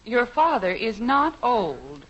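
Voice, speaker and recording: An actress playing a calm, reassuring middle-aged American mother in a vintage radio sitcom, soothing and genteel. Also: on the high side, takes it slowly, slightly rough, heavily acted. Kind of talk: 1950s radio sitcom acting. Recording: muffled vintage radio recording.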